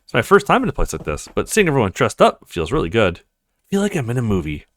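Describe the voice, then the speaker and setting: Animated male let's player, very lively pitch. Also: fast, no room sound, studio quality. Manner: animated, acting out characters' lines. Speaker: let's play streamer. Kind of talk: reading visual novel dialogue aloud.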